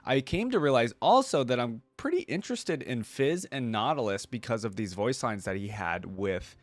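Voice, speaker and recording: A man's voice: medium pitch, lively intonation, normal speed, no echo. A man giving casual reaction commentary as a video host, in an informal, rambling way.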